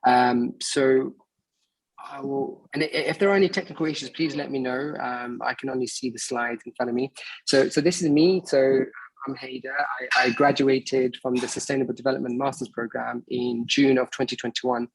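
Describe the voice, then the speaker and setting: A man's voice: medium pitch, steady tone, moderate pace, vocal fry, slightly rough, low-quality recording. A man in his early thirties, from Bangladesh and raised in England, hosting an online meeting in a casual, hesitant way with many fillers and restarts. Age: 30s. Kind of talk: casual presentation on a video call.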